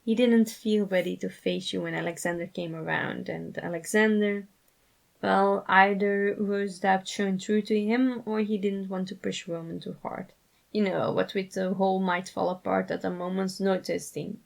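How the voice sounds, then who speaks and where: A woman voicing an angsty, blunt but protective young man in a fan fiction reading, halting and matter-of-fact. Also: medium pitch, steady tone, creaky, natural voice, poor audio. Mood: exasperated, explaining.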